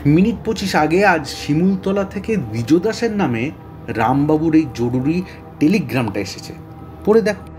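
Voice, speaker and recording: An actor playing a talkative, excitable middle-aged police detective in an audio drama, blustery, often stammering and repeating words. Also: male voice, on the high side, moderate pace, soft background music, lightly acted. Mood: flustered, confiding. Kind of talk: Bengali audio story acting.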